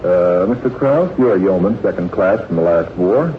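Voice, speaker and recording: A man's voice: deep voice, expressive, moderate pace. A man hosting a live 1940s radio quiz, teasing and bantering with the panel between questions. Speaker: quiz show host. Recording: tinny, noisy 1940s radio broadcast.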